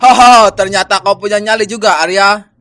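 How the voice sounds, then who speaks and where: Haughty elder brother with cursing powers for folktale cartoon male actor; high, forceful, spoiling for a fight. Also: fairly even intonation, normal speed. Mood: taunting. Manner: haughty, challenging. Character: arrogant, vengeful older brother with magic cursing power. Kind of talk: cartoon folktale character acting.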